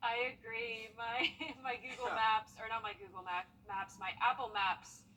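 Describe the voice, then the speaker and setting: A woman's voice: fairly high pitch, flat delivery, a little slow, sharp tone, distant mic, slight background noise, rough recording. A woman giving a local TV weather forecast and chatting with the anchor in an upbeat, conversational way. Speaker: meteorologist. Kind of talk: weather forecast and desk banter.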